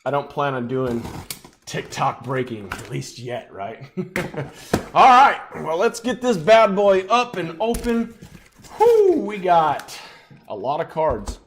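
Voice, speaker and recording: A young adult man hosting a live sports card break, slightly raspy and chatty as he opens packs. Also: a little high, big pitch swings, slow, a little hiss or hum. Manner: upbeat, chatty, talks while opening packs.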